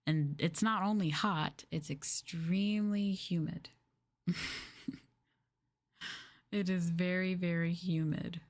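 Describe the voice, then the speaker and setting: A woman with an American accent talking softly and casually as an ASMR streamer, gently and unhurriedly replying to her chat. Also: lowish voice, steady tone, slow pace, long pauses. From United States.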